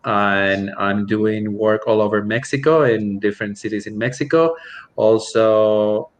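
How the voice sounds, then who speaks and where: A man chatting as a guest on an online panel, hesitating often as he talks. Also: on the low side. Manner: hesitant, lots of fillers and restarts. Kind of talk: casual online panel chat.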